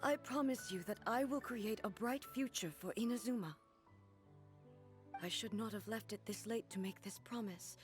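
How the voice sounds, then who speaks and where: A woman's voice: on the high side, normal speed, faint music behind, lightly acted. A woman voicing a solemn goddess ruler. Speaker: game character. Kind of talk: video game voice acting.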